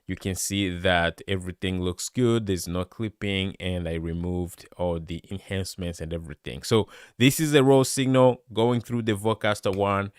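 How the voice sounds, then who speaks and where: A man with a deep, bassy voice, chatting excitedly with lots of fillers as he reviews microphones on a live stream. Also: normal speed, few pauses, smooth, no echo, clean studio sound. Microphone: studio dynamic microphone close up.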